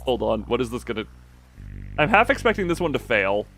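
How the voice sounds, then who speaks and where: Man with a very animated, lively pitch. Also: fairly quick, faint music behind. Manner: thinks out loud, often breaking off mid-sentence. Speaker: game streamer. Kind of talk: live gameplay commentary, casual.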